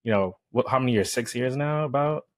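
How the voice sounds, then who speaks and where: A man's voice: medium pitch, a little fast, no room sound. A young man hosting a casual podcast interview, asking loose, hesitant questions padded with fillers. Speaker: podcast host. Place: small indoor room, on a couch. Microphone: handheld microphone.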